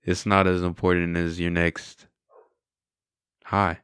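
A man's voice: low voice, monotone, slow pace, a bit bright, clear, resonant tone, no echo, clean studio sound. A man in his twenties sharing his testimony in a candid, reflective, conversational way. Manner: candid, reflective, often adds "right?" and "you know". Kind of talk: casual conversational storytelling.

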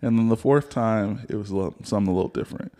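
A man's voice: on the low side, racing, mellow. A man in his thirties, a podcast host, talking frankly and laughing in casual chat. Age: 30s.

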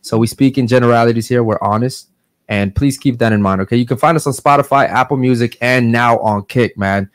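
A man's voice: mid-range voice, brisk, no room sound, studio quality. A man hosting a podcast in a brash, profane, casual way, tacking "man" onto his sentences. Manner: brash, profane, drops "man" and "guys" often. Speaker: podcast host.

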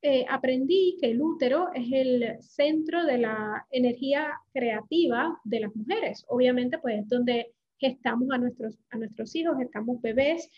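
Woman, 40s, light Puerto Rican accent; high-pitched, earnest interview guest on dull lo-fi audio. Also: a little slow, few pauses. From Puerto Rico. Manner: earnest, fluent, with many fillers. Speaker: guest, creativity coach. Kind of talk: casual podcast interview.

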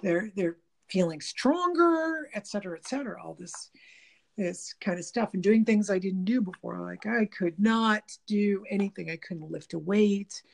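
A woman with a gravelly, slightly nasal voice talks in a loose, rambling way, full of restarts and "you know"s. Her pitch sits in the middle of the range. It is casual, unscripted conversation. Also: normal speed. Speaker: guest talking about diet and the body.

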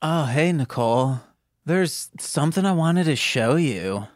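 A woman's voice: low-pitched, animated, unhurried, darkish tone, no room sound, clean studio sound. A woman voicing an awkward, cute teenage boy in a comedic table read, speaking hesitantly. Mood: awkward, coy.

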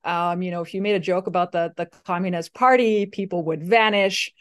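Lower-voiced woman telling her story. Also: steady tone, a little fast, clear, resonant tone. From Slovakia. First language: Slovak. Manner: conversational, many fillers and restarts. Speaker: guest. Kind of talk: casual interview storytelling.

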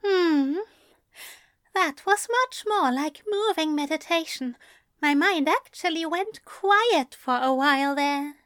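A woman's voice: sits high, expressive, slow pace, a bit bright, no room sound, clean studio sound, exaggerated voice. A woman voicing a prim, contented man who teases his lover in a fan fiction audio drama. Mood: blissful. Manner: prim, playfully indignant.

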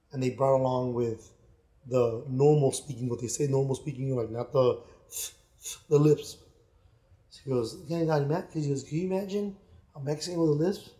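Mellow-voiced man chatting on a podcast. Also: medium pitch. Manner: rambling, with many restarts and put-on quoted voices. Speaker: podcast co-host. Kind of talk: casual chat. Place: Miami.

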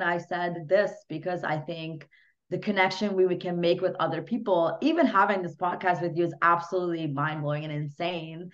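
Woman from Hungary, podcast guest. Lowish voice, informal and earnest, on dull lo-fi call audio. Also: fairly bright tone. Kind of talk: casual interview conversation. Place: remote online podcast call.

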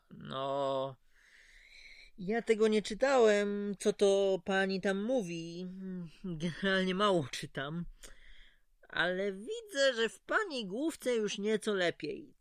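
A man's voice: high-pitched, very animated, slow pace, fairly bright tone, smooth, no room sound, clean studio sound, exaggerated voice. An actor playing a chatty, folksy male prison clerk in an audio drama, familiar and mildly condescending. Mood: patronising, friendly. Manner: familiar, patronising, colloquial.

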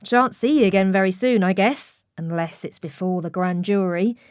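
A woman's voice: mid-range voice, moderate pace, a little mellow, no room sound, clean studio sound, lightly acted. A woman voicing a cryptic, cracked male prophet from the docks in an audiobook, repeating himself in archaic rustic speech.

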